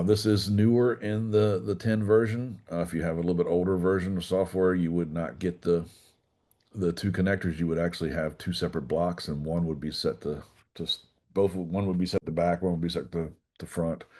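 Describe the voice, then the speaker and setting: Male instructor, low relaxed voice, informal software demo, folksy phrasing. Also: brisk. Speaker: class instructor. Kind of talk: informal technical software demonstration.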